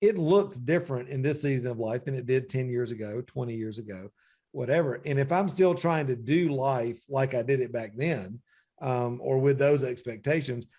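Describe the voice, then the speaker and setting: A man's voice: mid-range voice, normal speed, mellow. A man in his forties hosting a faith-based interview, talking reflectively and often saying "you know.". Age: 40s. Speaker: podcast host.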